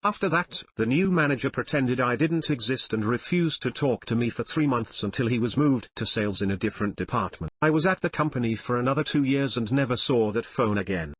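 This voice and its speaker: A man narrates scripted online stories and reader comments. His voice sits at an average pitch with a husky, slightly rough edge. The sound is dry, with very little room around it. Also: animated, a little fast, hardly any pauses, no room sound. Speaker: narrator reading online forum posts and comments.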